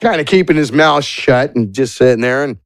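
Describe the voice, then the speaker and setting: A man's voice: mid-range voice, lively intonation, fairly quick, no echo, clean studio sound. A man narrating a tabletop roleplaying game as game master in a casual, playful, improvised way.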